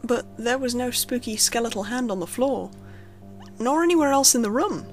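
High-pitched woman narrating a horror story. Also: lively intonation, normal speed. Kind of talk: scripted first-person horror narration. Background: background music under the narration.

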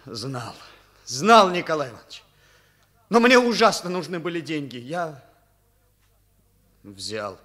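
An actor playing a distraught, ashamed schoolboy in a halting, emphatic way, in a Soviet radio drama. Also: male voice, on the high side, sing-song, a bit bright, heavily acted. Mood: desperate. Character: distraught, ashamed schoolboy confessing to theft accomplice role.

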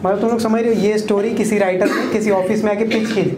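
A man's voice: high voice, steady tone, quick pace. A young male stand-up comedian telling a crude, casual story and checking in with the crowd. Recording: echoey club room, loud crowd laughter. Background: audience laughing.

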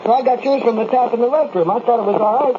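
High-pitched, worried radio engineer. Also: male voice, rapid-fire. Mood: concerned. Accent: American. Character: worried, loyal male radio station engineer. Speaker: radio engineer in an audio drama. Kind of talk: 1970s radio drama acting. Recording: muffled, noisy vintage radio recording.

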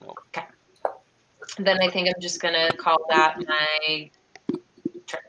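A young woman plays in an online tabletop game, her voice bright. She thinks aloud hesitantly as she counts squares and checks rules. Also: low voice, level tone, slow, low-quality recording. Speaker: player. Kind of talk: casual tabletop game play.

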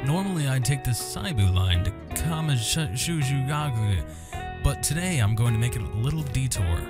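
A man's voice: medium pitch, very animated, normal speed. A man hosting a gaming video, reading visual novel text aloud with asides and stumbling over some words and names. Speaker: gaming host. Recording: music under the voice. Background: game music with guitar.

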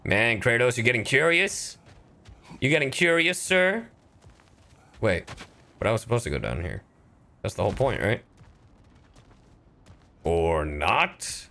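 A man's voice: mid-range voice, very animated, a little slow, pauses often, bright, forceful tone. A man giving casual, playful gameplay commentary as a streamer, exclamatory and full of swearing. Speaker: streamer, voice actor.

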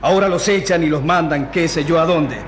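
A man's voice: fairly high pitch, a little fast, long reverb tail, slight background noise, natural voice. An actor playing an earnest, indignant man of twenty protesting in a radio drama. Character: earnest, indignant twenty-year-old man defending the poor.

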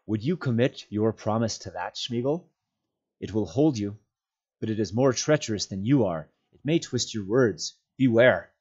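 A man voicing a stern, commanding hobbit master in a storybook, speaking in a firm, measured, formal way with some pity. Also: fairly low pitch, lively intonation, darkish tone, slightly rough, lo-fi sound. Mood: stern warning. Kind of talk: storybook character voice.

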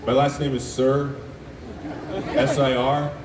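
A man in his thirties, from Alberta, with a deep voice, performing a deadpan, self-mocking stand-up comedy set and teasing the audience. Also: slow, background noise. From Canada (Alberta). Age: 30s. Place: outdoor festival stage in a city park at night. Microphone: PA speakers recorded by a phone in the crowd. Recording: echoey amplified sound from far off. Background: laughing, cheering crowd.

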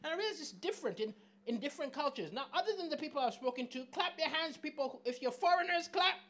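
A middle-aged man from southern Africa performing stand-up comedy on a small club stage, chatty and animated, questioning the audience into a handheld mic. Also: very high voice, fast talker. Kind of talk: stand-up comedy set with crowd work.

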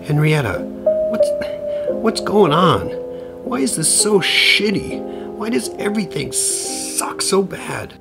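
A man's voice: high voice, big pitch swings, slow pace, vocal fry, over loud music, exaggerated voice. An actor playing a harried, foul-mouthed, despairing middle-aged man in an audio play, stammering and rambling in exasperation. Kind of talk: audio theater acting.

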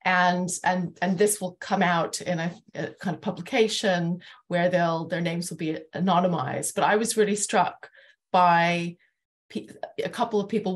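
A middle-aged woman hosting an online panel discussion, speaking in a halting, thoughtful way over a video call. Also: fairly low pitch. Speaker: host and moderator. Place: home room, indoors.

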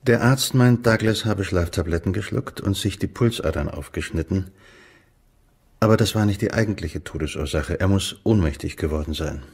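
A low-voiced male investigator in a German crime audio play. He is earnest and concerned, with a gravelly, husky edge to his words. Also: moderate pace, a little mellow, heavily acted. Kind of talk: German radio crime drama acting.

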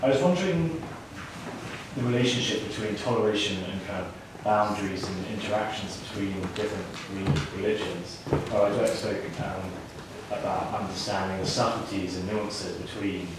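A man's voice: fairly low pitch, normal speed. A man putting a tentative, roundabout question from the audience at a conference. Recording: very echoey room, distant mic, clear noise.

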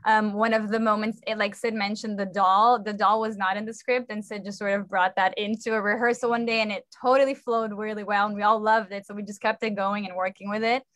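A woman's voice: medium pitch, little pitch movement, brisk, few pauses, fairly bright tone, no room sound. Woman director explaining, close dry sound. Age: young adult. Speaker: theatre director. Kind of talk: conversational explanation on a panel. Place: indoor room on a video call. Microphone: video call microphone.

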